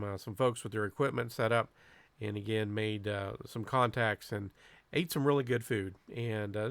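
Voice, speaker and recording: A man's voice: medium pitch, fairly bright tone, no echo. A man casually narrating a club event video in a folksy, chatty way, like a host talking viewers through it.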